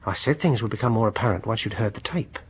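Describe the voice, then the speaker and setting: An actor playing a coldly vengeful, obsessive music lover in a radio horror drama, pedantic and condescending. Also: male voice, lowish voice, fast, slightly rough. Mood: quietly menacing. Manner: pedantic, mock-reasonable, condescending.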